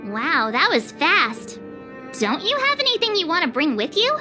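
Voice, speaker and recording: A woman's voice: very high-pitched, full character voice. A woman voicing a chatty, cheerful, teasing teenage girl traveler in a video game cutscene. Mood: cheerful, eager. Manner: chatty, expressive, playful. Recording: game music behind the voice.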